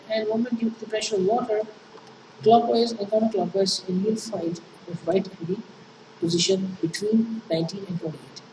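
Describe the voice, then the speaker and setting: A woman with a Pakistani accent, a native Urdu speaker, giving an engineering lecture and walking through worked problems with frequent fillers and restarts. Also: mid-range voice. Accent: Pakistani English. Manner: halting, many fillers and restarts. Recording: echoey room, distant mic, noisy.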